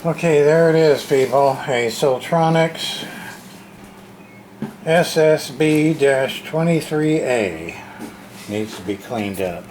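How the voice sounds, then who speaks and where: Slow-talking man, folksy, over clear background noise. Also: a little high, lively intonation, a little mellow. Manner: folksy, talks to himself in short fragments. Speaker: radio repair hobbyist hosting an unboxing. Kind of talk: casual hands-on unboxing narration.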